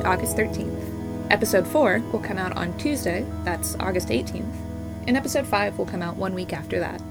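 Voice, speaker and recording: A young woman with a medium-pitched voice reads podcast credits as the show's writer. Loud music plays behind her scripted but casually phrased outro.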